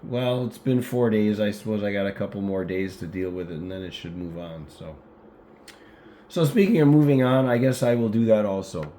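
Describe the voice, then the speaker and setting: A man's voice: lowish voice, fairly even intonation, moderate pace, dark tone, a little hiss or hum. A man talking as an amateur radio operator in a casual, informal and rambling chat.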